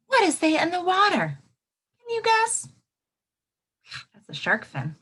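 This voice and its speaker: A woman teacher reading aloud to young children in an expressive way, pausing to add asides and prompt them with questions. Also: on the high side. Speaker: elementary school teacher.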